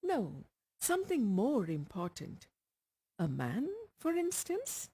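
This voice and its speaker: A woman voicing a busy male doctor, frank and measured, with deliberate pauses. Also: fairly high pitch, huge pitch swings, warm tone, husky, heavily acted.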